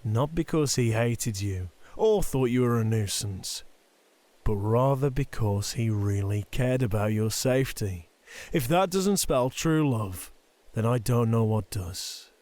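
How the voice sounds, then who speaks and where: A man's voice: medium pitch, lively intonation, a little mellow, dry recording, natural voice. A man playing a cruel, domineering middle-aged Yakuza boss and father in an audio drama, taunting and threatening. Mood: menacing. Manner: taunting, threatening, slow deliberate delivery. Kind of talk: ASMR roleplay audio drama acting.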